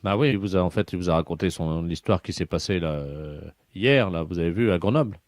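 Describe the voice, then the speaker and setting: A man's voice: sits low, fairly quick, natural voice. A man playing an authoritative hospital psychiatrist on a hoax phone call, with a brusque, official manner. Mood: brisk, official. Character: brisk, authoritative male psychiatrist from a mental hospital. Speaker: prank caller.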